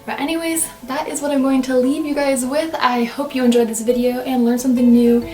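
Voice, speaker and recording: Young woman, upbeat casual vlogger, higher voice, recorded off-mic in an echoey room. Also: level tone, fairly quick, mellow, recorded from a distance, soft background music. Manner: chatty and upbeat, lots of "like" and "right?". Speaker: language-learning vlogger. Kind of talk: casual to-camera vlog.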